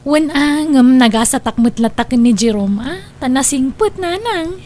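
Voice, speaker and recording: A woman's voice: high voice, expressive, a little slow, a little mellow. A woman with a Filipino accent playing a breezy, defensive young graduate in a comedy drama, flippant and protesting. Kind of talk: amateur comedy drama acting.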